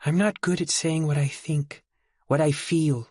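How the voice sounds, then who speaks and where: A man's voice: fairly high pitch, fairly even intonation, unhurried, darkish tone, husky, no echo, clean studio sound, pitched above the performer's own voice. A man voicing a determined young woman starship captain in an audiobook, pleading and resolute. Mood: fragile confession. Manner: pleading, then resolute and tender.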